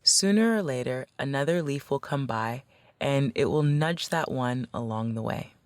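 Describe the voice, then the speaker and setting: A woman leading a guided meditation as a facilitator, speaking in a gentle, measured, instructional way. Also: a bass voice, animated, no echo.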